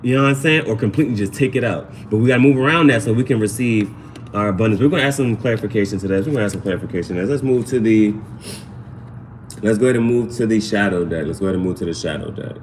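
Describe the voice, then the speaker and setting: A man's voice: fairly low pitch, very fast, slight background noise, poor audio. A man hosting a casual live tarot reading, chatting to viewers in slangy speech and often repeating his phrases. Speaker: tarot reader, livestream host.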